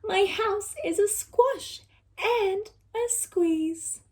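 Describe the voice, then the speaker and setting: A woman voicing a fussy, dramatic little old lady who wails and exclaims in a high voice. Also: drawn-out words, darkish tone, a little hiss or hum, exaggerated voice. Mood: fretful wailing.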